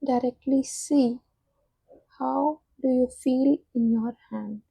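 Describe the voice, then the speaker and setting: A woman leading a guided meditation in a soft, soothing manner, giving unhurried instructions with long pauses. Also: high voice, steady tone, creaky, breathy edge, no room sound, rough recording.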